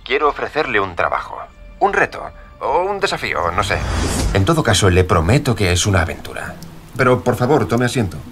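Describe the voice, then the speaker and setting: A man's voice: on the low side, sing-song, a little fast, fairly bright tone, faint music behind. A man playing a courteous, persuasive publisher in an audio drama. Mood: persuasive, intrigued.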